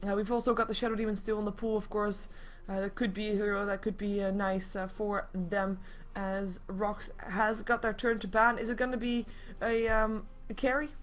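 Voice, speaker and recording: A woman casting an esports match live in a casual, chatty, expressive way. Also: mid-range voice, normal speed, hardly any pauses. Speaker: esports commentator.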